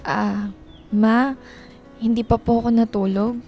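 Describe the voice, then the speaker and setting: A dutiful young Filipina daughter, high-voiced. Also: female voice, steady tone, slow, a little mellow. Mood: polite, weary. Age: young adult. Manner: polite, reassuring, insistent. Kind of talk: radio drama acting. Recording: music behind the voice.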